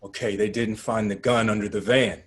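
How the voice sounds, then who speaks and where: A man playing a grim, sad activist in a dramatic table read, halting and earnest in an understated way. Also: fairly low pitch, steady tone, husky. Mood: tense, planning. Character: Gus, grim, sad male activist planning an escape. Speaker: actor.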